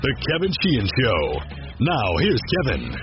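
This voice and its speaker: A man's voice: mid-range voice, wildly swooping pitch, a little slow. Swooping male radio promo announcer over music. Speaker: show intro announcer. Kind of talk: punchy radio imaging promo. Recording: dull sound under loud music.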